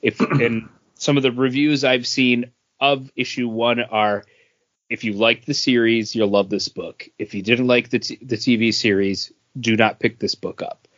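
A man's voice: medium pitch, steady tone, measured pace, a bit bright, no echo, highs cut off. A man co-hosting a podcast, talking casually about comic books. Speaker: podcast co-host.

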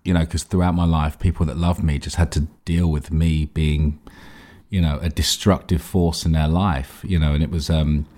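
A man with a very deep, low voice speaks openly about his own life. His delivery is casual and halting, informal enough to swear in passing. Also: level tone, warm tone. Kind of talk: candid, casual personal conversation.

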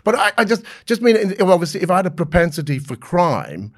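A man's voice: high voice, sing-song, a little fast. A man around sixty, born in South Africa, talking openly as an interview guest, laughing easily and restarting his sentences. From born in South Africa, raised in the United Kingdom. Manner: friendly and open, laughs easily, with frequent fillers and restarts. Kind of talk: candid conversational interview.

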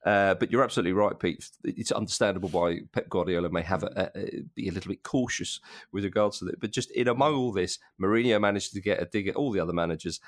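A man hosting a casual football podcast, talking in a halting way and often repeating his words. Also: low voice, no echo. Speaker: podcast host.

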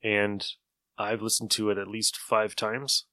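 A male host talks casually on a podcast. His voice sits low but sounds bright and forceful, in a very dry recording. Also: flat delivery, measured pace, no echo. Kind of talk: casual chat.

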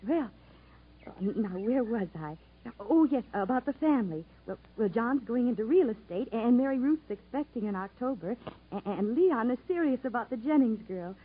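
A husky-voiced, devoted young wife. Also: female voice, on the high side, expressive, moderate pace. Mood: bravely cheerful. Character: devoted young wife, chatty and bravely cheerful. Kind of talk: 1950s radio drama acting. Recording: thin, narrow-band vintage radio broadcast.

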